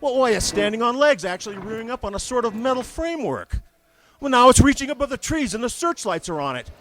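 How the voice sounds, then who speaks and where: An actor playing a male army signal captain reporting from the field in a 1930s radio drama, calm and official. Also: very high-pitched, fast talker, exaggerated voice. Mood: alarmed. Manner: calm official tone rising into alarmed shouting. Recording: old radio broadcast recording.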